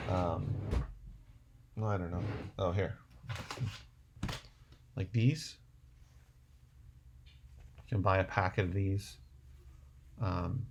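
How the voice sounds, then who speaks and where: A man's voice: sits low, creaky, a little hiss or hum. A man hosting a casual livestream, answering viewer questions in a rambling way, thinking aloud as he goes. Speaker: livestream host.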